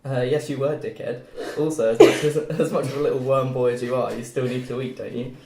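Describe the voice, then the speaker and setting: A man's voice: medium pitch, fairly even intonation, fairly quick, a little mellow, natural voice. A young man playing a brash, foul-mouthed, teasing male student in a script read-through. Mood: irritated, pushy. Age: young adult. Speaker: student cast member at a table read. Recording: roomy, faint noise.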